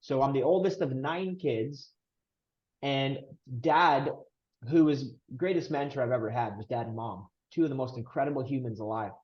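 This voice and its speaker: A man's voice: medium pitch, moderate pace, highs cut off. A man in his thirties from Romania, a podcast guest earnestly telling his family story with emphatic delivery. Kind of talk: casual interview storytelling.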